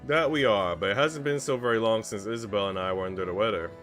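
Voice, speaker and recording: A man's voice: on the low side, fast, a bit bright, close to an everyday voice. A man voicing a haughty woman, a senior housemaid who bullies with false sweetness in a theatrical, condescending way. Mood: mock-wounded. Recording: music behind the voice.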